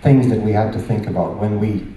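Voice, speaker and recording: A man's voice: on the low side, a little fast, mellow, a little hiss or hum. A middle-aged man from Latvia with a Latvian accent, giving a conference presentation in emphatic short phrases with rhetorical questions. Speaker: conference speaker. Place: large conference hall, indoors. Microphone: handheld microphone. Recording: very echoey hall, distant sound.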